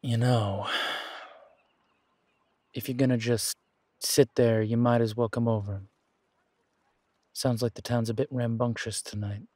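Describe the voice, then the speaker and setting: A man playing a menacing, wry pirate captain in a roleplay audio, speaking in a taunting, deliberate drawl with dramatic pauses. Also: medium pitch, flat delivery, darkish tone, dry recording, lightly acted. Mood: wry menace. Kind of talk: scripted roleplay audio acting.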